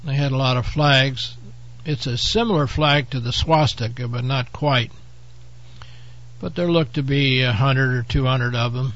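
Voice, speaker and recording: A man hosting his own show, hoarse from a head cold, rambling through an offhand monologue with frequent fillers. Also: mid-range voice, a little slow, a bit bright, highs cut off. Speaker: host. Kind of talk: casual talk-show monologue.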